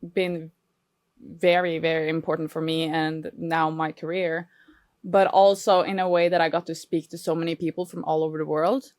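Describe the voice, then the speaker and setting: A woman's voice: deep voice, level tone, normal speed, a little mellow, no room sound, clean studio sound. A Norwegian woman in her twenties, a podcast guest, speaking English with a Norwegian accent in an earnest, reflective way. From Norway. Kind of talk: casual podcast interview.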